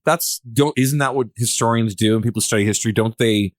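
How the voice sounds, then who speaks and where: A podcast host talking casually and informally, with frequent short interjections in a relaxed discussion. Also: mid-range voice, a little fast, no room sound, studio quality.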